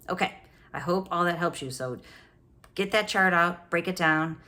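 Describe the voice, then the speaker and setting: A woman's voice: deep voice, pushed and bright. A woman host giving a motivational coaching talk, speaking straight to the listener with upbeat energy and rhetorical questions. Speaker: brain coach and podcast host. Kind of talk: motivational solo talk to camera.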